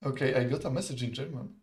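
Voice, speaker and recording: A young man, commentating a live strategy game in a relaxed, chatty, analytical way and laughing often. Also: medium pitch. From Poland. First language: not English. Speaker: esports caster.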